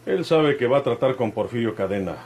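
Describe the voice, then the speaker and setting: A Mexican actor playing a tough, self-assured bandit in a classic radio soap, husky and rustic in his speech. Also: mid-range voice, lively intonation, brisk, a little mellow. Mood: confident. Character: confident, tough male bandit, fond of his woman.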